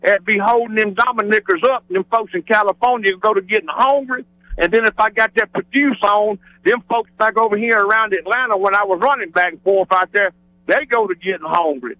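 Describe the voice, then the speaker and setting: A man's voice: high-pitched, a little fast, bright, forceful tone, dry recording. A man with a Southern US accent phoning a radio show, rambling in an exuberant, folksy way. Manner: exuberant, digressive, folksy showman. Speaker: regular radio phone-in caller. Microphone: telephone. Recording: radio broadcast phone call.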